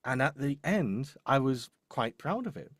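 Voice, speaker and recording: A man's voice: medium pitch, big pitch swings, unhurried, a bit bright, breathy edge, no echo. A man speaking off the cuff as a demo producer giving advice, emphatic, repeating his points and often checking in with "right?". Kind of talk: live coaching talk, unscripted.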